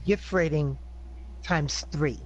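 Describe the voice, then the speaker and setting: A man's voice: on the high side, slow pace, highs cut off, slight background noise. A man at a tabletop game, chiming in matter-of-factly to state the rules. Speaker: player. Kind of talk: casual chat at a tabletop game.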